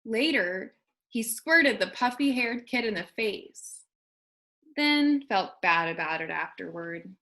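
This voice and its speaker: A woman, a kindergarten teacher, reading a children's storybook aloud expressively and deliberately to young listeners. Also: medium pitch, expressive, pushed and bright.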